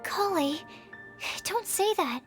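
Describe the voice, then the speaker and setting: A woman voicing a gentle, curious and polite young woman in a video game, with a considerate, slightly tentative manner. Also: extremely high pitch, animated, slow, faint music behind, heavily acted. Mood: kind, concerned.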